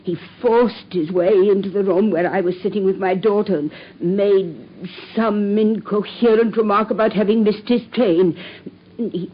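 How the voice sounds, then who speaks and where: An actress playing an anxious middle-aged widowed landlady in a radio drama, with a husky voice, pleading and close to tears. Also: mid-range voice, dull audio, lightly acted. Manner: distressed, pleading, breaking into tears.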